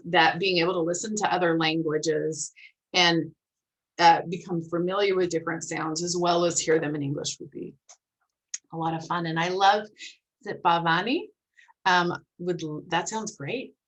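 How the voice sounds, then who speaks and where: Lady running a club meeting online, lowish voice, encouraging, explains stuff casually. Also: a bit bright, poor audio. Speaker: host of a phonetics club meeting, academic. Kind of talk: casual group discussion.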